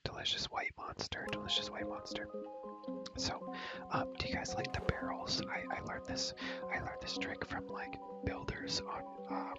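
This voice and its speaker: The whole voice is an airy whisper, set against loud music. The speaker rambles casually through a game tour in ASMR style, looping words and short phrases over and over. The wording is slangy and loose. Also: normal speed. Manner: repeats words and phrases rhythmically; slangy, casual, mild swearing. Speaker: video creator giving a game world tour.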